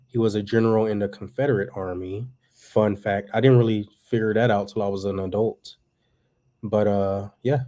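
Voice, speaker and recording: A man's voice: fairly low pitch, normal speed. A man in his thirties, co-hosting a home livestream in casual, rambling chat. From Texas. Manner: relaxed and rambling, with many fillers. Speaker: livestream co-host. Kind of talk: casual chat reading viewer comments.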